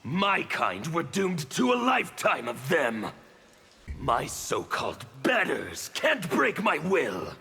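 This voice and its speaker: Casting: a scarred revolutionary zealot for a fighting game, forceful gravelly bite, highish pitch, declamatory and threatening, long reverb. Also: male voice, huge pitch swings, slow pace, soft background music, exaggerated voice. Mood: defiant. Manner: menacing, theatrical, declamatory. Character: fanatical burned male anarchist revolutionary villain. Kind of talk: theatrical video game character acting. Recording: long reverb, faint background noise.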